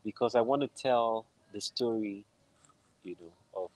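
Nigerian man, halting casual storyteller. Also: on the low side, darkish tone, poor audio. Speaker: interview guest, film composer. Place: room at home, on a video call. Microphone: wired earphones on a video call.

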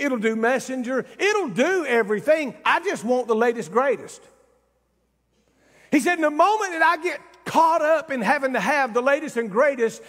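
Casting: male pastor, sixties, very high-set voice, exhorting sermon delivery, reverberant church hall. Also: fairly bright tone. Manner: emphatic, exhorting, conversational asides. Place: large church stage, indoors.

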